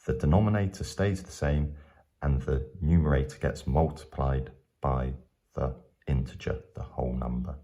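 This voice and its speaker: A man's voice: very deep voice, steady tone, moderate pace, warm tone. A man teaching maths step by step in a patient, explanatory way, going back over his points.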